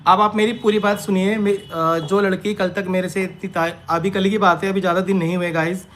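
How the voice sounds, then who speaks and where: A man's voice: high-pitched, little pitch movement, moderate pace, fairly bright tone. A man speaking unscripted to his followers on a live stream, defensive and emphatic, repeating his points, with some background noise. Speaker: online creator answering accusations. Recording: noisy live-stream audio with background ambience.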